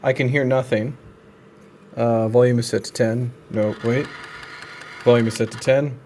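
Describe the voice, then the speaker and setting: A young man with a mid-range voice talks through hands-on work in a casual vlog style. His delivery is deadpan and slangy, with a wry edge. There is faint noise behind him from a handheld recording indoors. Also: medium pitch, a little slow. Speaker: video creator. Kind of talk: casual hands-on repair vlog, narrating as he works. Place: indoor room at a desk.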